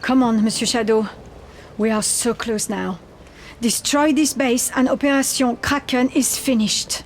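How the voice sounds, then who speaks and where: A woman's voice: on the high side, fairly even intonation, a little slow, a little hiss or hum. A woman playing a determined fighter in a war game, speaking in a resolute way. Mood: resolute, encouraging. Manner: urgent, resolute, encouraging. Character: determined female French Resistance fighter.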